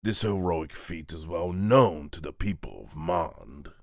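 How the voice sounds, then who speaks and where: A man's voice: very deep voice, big pitch swings, measured pace, vocal fry, a little mellow, no echo, studio quality, exaggerated voice. A man voicing a nervous, obsequious underling in an amateur manga voice-over, fawning and prone to stammer. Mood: humble, proud. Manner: fawning, stammering when pressed. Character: obsequious, nervous male underling scheming for his master.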